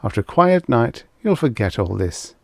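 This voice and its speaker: A man's voice: medium pitch, sing-song, moderate pace, creaky, darkish tone, no echo. A man voicing a smug, condescending male official in an audiobook, soothing and confidential. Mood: soothing, dismissive. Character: smug, patronizing pipe-smoking official humoring a woman. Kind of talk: audiobook character voice.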